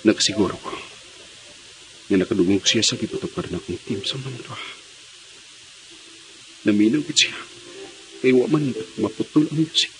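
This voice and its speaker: A man's voice: on the low side, animated, moderate pace, long pauses, slight background noise, close to an everyday voice, poor audio. A man with a Visayan Filipino accent playing a brooding, devoted young man in a dramatic radio play. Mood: brooding, determined.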